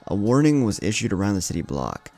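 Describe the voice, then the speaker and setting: Deep, creaky-voiced male storyteller. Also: sing-song, fast talker, darkish tone. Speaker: channel narrator and host. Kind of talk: scripted dramatic story narration. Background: faint music.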